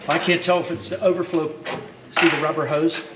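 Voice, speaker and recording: A man's voice: on the high side, level tone, a little fast. A man chatting casually in a garage workshop. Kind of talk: casual shop chat. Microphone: camera set over the engine bay, at a distance. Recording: very noisy garage, far from mic.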